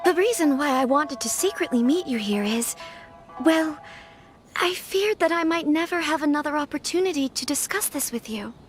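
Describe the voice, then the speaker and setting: A polite young noblewoman with a high, youthful voice. She chooses her words formally and carefully and stumbles slightly when flustered. Soft game music sits underneath. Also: lively intonation, moderate pace. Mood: earnest. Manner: formal, courteous phrasing, slight nervous stammers. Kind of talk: video game voice acting.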